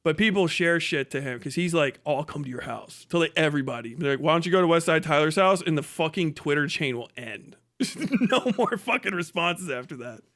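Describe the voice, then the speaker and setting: A man with a slightly high voice talks as a live stream host. He rambles casually and bluntly, cursing freely as he tells his stories. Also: lively intonation, fairly quick, a bit bright. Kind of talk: casual, profane ranting and storytelling.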